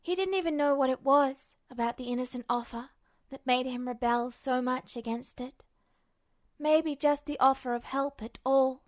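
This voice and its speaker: A woman reading a story aloud as an amateur fiction narrator, in an expressive scripted style. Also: high voice, moderate pace, dry recording, clean studio sound.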